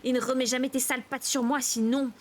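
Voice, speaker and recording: A woman voicing a spiteful young sister in a storybook, hoarse, sneering and threatening. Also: mid-range voice. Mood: enraged. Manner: threatening, aggressive, slow emphatic menace.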